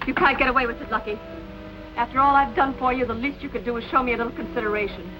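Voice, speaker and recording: A woman an actress plays a bitter, jealous club performer in an old noir film. Her delivery is brusque and hard-edged. Music runs clearly behind her voice. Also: fairly high pitch, very fast, natural voice, poor audio. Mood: angry, threatening. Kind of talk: 1940s film noir acting.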